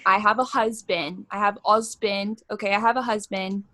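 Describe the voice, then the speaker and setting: A woman's voice: mid-range voice, fairly even intonation, moderate pace, bright, forceful tone. A woman in her twenties from Hawaii, co-hosting a podcast with blunt, cheerful banter and plenty of swearing. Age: 20s. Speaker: podcast co-host. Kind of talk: casual chat.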